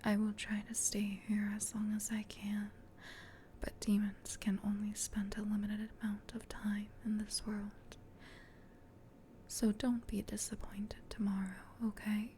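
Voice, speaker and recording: Slow whispering gentle demon played by a woman. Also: medium pitch, monotone, slight background noise, natural voice. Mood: soothing, protective. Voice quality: soft breathy whisper. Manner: soothing, tender, reassuring, unhurried. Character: gentle, protective female sleep paralysis demon comforting a human. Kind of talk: whispered ASMR comfort roleplay.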